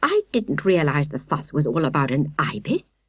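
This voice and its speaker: A woman's voice: low-pitched, sing-song, normal speed, husky, no echo, clean studio sound, full character voice. A woman voicing a flustered, well-meaning, apologetic British lady in a children's storybook, exclamatory and fretful. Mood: surprised, fluttery. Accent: British English.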